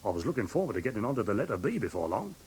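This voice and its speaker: Radio drama role: indignant English tradesman, husky, swooping intonation, rambling and easily flustered, humble before his betters. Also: lowish voice, big pitch swings, rapid-fire, darkish tone, full character voice. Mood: plaintive. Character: indignant, simple-minded London pawnbroker, wronged and fussy. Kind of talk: classic British radio drama acting.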